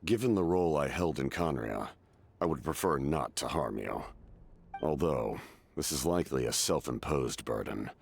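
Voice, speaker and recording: An actor playing a weary, proud ancient warrior in a video game, with a very deep gravelly voice and a solemn, measured, formal delivery. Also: male voice, expressive, a little hiss or hum, exaggerated voice. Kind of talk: dramatic game voice acting. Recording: game music behind.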